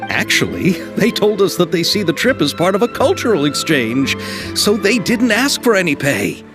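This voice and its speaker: A man's voice: high voice, very animated, normal speed, over music. A man playing a polite, earnest and modest filmmaker in a video game, courteous and thoughtful.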